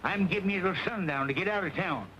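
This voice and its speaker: A hot-headed frontier rebel from an old western film. His rural American drawl is high and folksy, ready for a fight. The soundtrack is dull and full of noise. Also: fast talker, pushed and bright. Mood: defiant, threatening. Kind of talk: 1930s western film acting.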